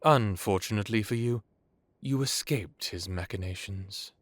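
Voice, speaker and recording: A man acts out an ancient vampire lord in an audio drama. His low voice is courtly and measured, with theatrical pauses. He sounds wry yet commanding, recorded very dry. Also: no echo, lightly acted. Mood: grave, warning. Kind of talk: dramatic audio roleplay acting.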